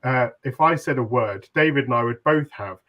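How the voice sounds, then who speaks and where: This is a man at a mid-range pitch, talking his way through ideas on a webinar panel. He speaks in long, winding sentences full of "uh"s and restarts. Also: moderate pace, a bit bright. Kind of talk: reflective webinar discussion.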